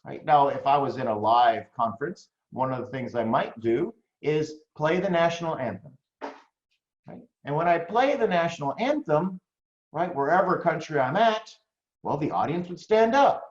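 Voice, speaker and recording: An American man delivering a coaching talk with a lively, swinging pitch. He talks conversationally to his audience, tossing in frequent questions. The audio is roomy and a bit dull, like a lo-fi room recording. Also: normal speed. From United States. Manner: animated, conversational, frequent "right?" tags. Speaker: business coach.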